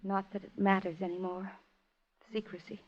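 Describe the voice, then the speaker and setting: A woman's voice: mid-range voice, little pitch movement, normal speed, lightly acted. An actress playing an anxious, desperate married woman in a 1950s radio drama, tense and pleading. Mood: worried. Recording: muffled old-time radio recording.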